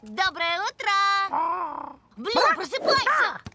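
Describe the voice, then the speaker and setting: A woman's voice: extremely high pitch, very animated, very slow, creaky, exaggerated voice. A woman voicing a small, chirpy, helpful little girl creature in a children's cartoon, with a matter-of-fact manner. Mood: perky. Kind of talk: children's animated series acting. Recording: studio cartoon dialogue with music behind. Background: cartoon music.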